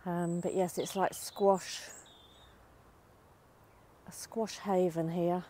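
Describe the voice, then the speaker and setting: A middle-aged woman chatting casually while she works her vegetable plot outdoors, her voice a little croaky. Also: fairly low pitch, little pitch movement, slow pace, noisy background. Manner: chatty, down-to-earth, talks while working. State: slightly croaky. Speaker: allotment gardening vlogger. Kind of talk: casual chat to camera. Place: outdoors in allotment and back garden. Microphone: phone camera held while walking.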